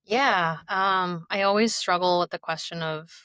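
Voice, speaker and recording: Bright-voiced woman sharing her story. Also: lowish voice, measured pace, clean tone, no echo. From United States and China. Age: 30s. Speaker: podcast guest. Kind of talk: conversational personal storytelling.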